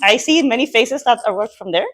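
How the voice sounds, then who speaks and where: A woman's voice: on the high side, lively intonation, a little fast, fairly bright tone. A young woman with a Chilean accent, a native Spanish speaker, chattily introduces a speaker as host of an informal event.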